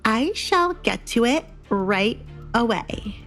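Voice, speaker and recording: Woman acting a spoiled young lady, high voice, haughty, affected, sulky, falsely sweet, audio drama with music behind. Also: lively intonation, takes it slowly, fairly bright tone, exaggerated voice.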